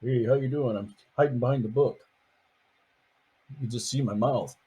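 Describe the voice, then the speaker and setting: A man's voice: medium pitch, normal speed, warm tone, slightly rough. An older man, a grandfather, reading a picture book aloud on video to his grandkids, playful and chatty, asking them questions as he goes.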